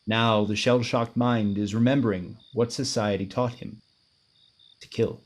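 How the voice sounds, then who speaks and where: A man playing a grave, brooding and commanding crime fighter with a secret identity, earnest and measured in 1930s radio drama style. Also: lowish voice, fairly even intonation.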